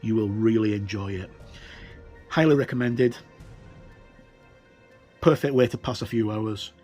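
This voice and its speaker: Low-voiced man, hesitant, music behind. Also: a little slow, darkish tone. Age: middle-aged or older. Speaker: reviewer and presenter of tabletop games. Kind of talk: casual unscripted book look-through. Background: ambient music with wind sounds.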